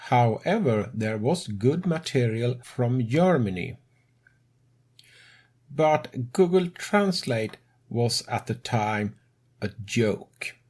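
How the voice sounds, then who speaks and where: Slow, breathy non-native man. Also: medium pitch, animated, a little mellow. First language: not English. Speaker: tutorial host. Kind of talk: game tutorial narration.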